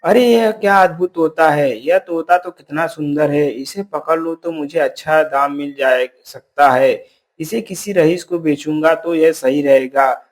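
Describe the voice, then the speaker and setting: A man's voice: fairly high pitch, measured pace, lightly acted. A man playing a stubborn, grumbling poor village hunter in a cartoon story. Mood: eager. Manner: complaining, self-justifying.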